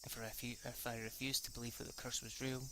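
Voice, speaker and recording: A man's voice: mid-range voice, level tone, quick pace, pushed and bright, slight background noise. A man reading a visual novel aloud as an amateur let's player, in narration that can stumble and restart. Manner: stumbles over words and rereads lines; casually foul-mouthed when reacting. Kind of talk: amateur read-aloud narration with off-the-cuff reactions.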